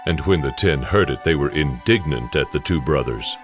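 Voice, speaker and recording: A man's voice: extremely low pitch, lively intonation, normal speed, music in the background. A man playing the solemn narrator of a Bible audio drama, giving a scripted, storytelling read. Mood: dramatic narrating.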